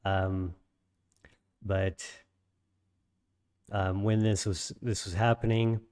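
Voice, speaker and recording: A man with a low voice that is slightly raspy after an illness talks through a coding tutorial. He explains step by step with frequent ums and restarts. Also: level tone, very slow, darkish tone. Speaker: programming instructor. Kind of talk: screen-share coding tutorial.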